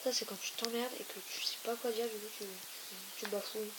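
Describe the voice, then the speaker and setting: A woman's voice: on the high side, brisk. A young woman hosting a casual gaming video, rambling and teasing, trailing off with repeated fillers. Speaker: gaming YouTuber. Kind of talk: casual let's-play chat. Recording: hissy home recording. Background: steady hiss.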